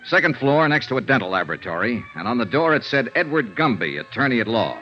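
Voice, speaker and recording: Man acting hard-boiled American investigator, quick and clipped, lively pitch, old radio drama. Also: natural voice. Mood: dry narration. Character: brisk, assured male insurance investigator, wry and hard-boiled. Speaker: actor in a radio detective drama. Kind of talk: 1950s radio acting, first-person detective narration and dialogue. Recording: dull vintage radio recording, music behind.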